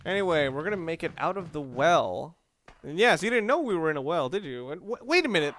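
A man's voice: on the high side, big pitch swings, a little fast, a bit bright. A man giving casual video game commentary, rambling along and thinking aloud as he plays. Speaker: let's play host.

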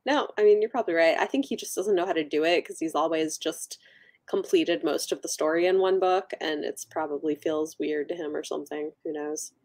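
A woman's voice: low-pitched, brisk, darkish tone. A young adult woman co-hosting a book livestream in a casual, musing way, often trailing off. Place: room at home, indoors.

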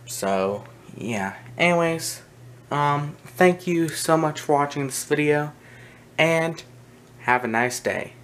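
A man's voice: on the high side, expressive, slow pace, fairly bright tone. A man narrating a casual, unscripted show-and-tell as a video host, speaking hesitantly with trailing asides. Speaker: video host, hobby collector. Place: small indoor room with wooden shelves. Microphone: handheld camera's built-in mic, behind the camera. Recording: noisy handheld camera audio, faint room noise.

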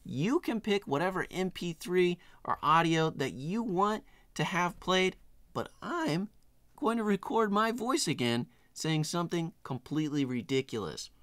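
A middle-aged man hosting a casual screen-share software tutorial in a tongue-in-cheek, playfully exaggerated way. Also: high voice, animated, measured pace, dry recording. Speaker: tutorial host.

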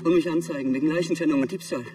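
An actor playing a cornered, self-justifying funeral worker, defensive and pleading, in a TV crime comedy. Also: male voice, fairly high pitch, rapid-fire, distant mic, noisy background, close to an everyday voice. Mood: defensive, desperate. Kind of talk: naturalistic TV crime comedy acting.

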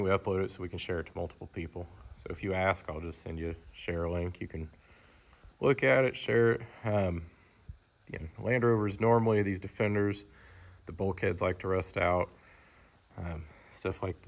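This is a man with a low-pitched voice that often drops into a gravelly fry. He talks like a dealer showing off a vehicle on camera, informal and unscripted. His delivery is matter-of-fact and rambles a little from one point to the next. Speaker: car dealer presenting a vehicle. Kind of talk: casual off-the-cuff sales walkaround. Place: large indoor car showroom. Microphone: handheld camera, speaker behind it.

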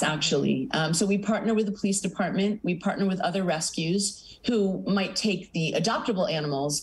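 A woman's voice: low-pitched, low-quality recording. A middle-aged woman, founder of an animal sanctuary, speaking earnestly over a video call from a home office in a news interview. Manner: earnest, lists things in long runs. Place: home office with pictures on the wall. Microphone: video call webcam.

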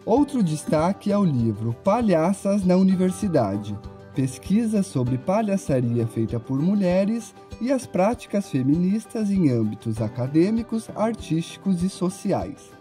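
A man's voice: high-pitched, very animated, measured pace, mellow, faint music behind. A young man delivering a scripted television news report. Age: young adult.

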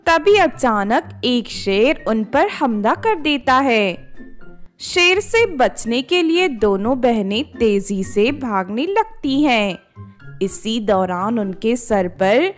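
A woman reading scripted fairy-tale narration as the storyteller of a children's cartoon. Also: on the high side. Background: background music.

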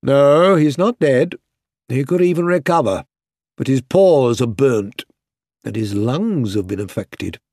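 A man voicing a grave army vet in a story, halting and sombre in delivery. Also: medium pitch, lively intonation, a little mellow, no echo, studio quality. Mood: grave, reluctant. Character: grave male army vet, an expert on horses.

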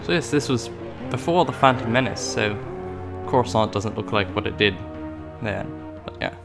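A man's voice: fairly low pitch, moderate pace, vocal fry, music in the background. A man hosting a gaming video in a put-on British accent, giving hammy, playful commentary as a loyal Imperial pilot. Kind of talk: playful in-character game commentary.

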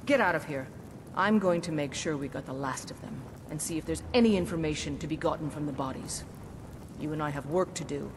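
A woman's voice: low-pitched, fairly quick, noisy background. A woman voicing a fierce, vengeful, battle-hardened warrior in a video game, speaking in a tough, resolute way. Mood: grim, determined.

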